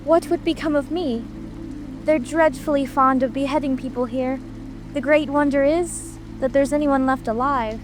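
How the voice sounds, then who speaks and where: A woman's voice: sits high, steady tone, moderate pace, background noise, natural voice. A woman playing an earnest, curious and prim young girl in a dramatic audiobook reading. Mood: wondering. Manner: prim, plaintive.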